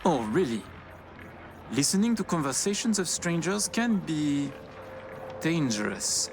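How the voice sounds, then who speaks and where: A man's voice: fairly high pitch, very animated, measured pace, soft background music. Guarded, menacing male gambler. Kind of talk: video game cutscene acting.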